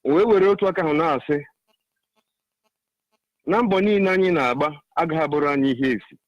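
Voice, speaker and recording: A man's voice: on the high side, fairly quick, no echo, muffled recording. A man with a Nigerian Igbo accent, speaking emphatically and repetitively as a caller giving an impassioned political monologue on a radio phone-in. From Ebonyi State, Nigeria.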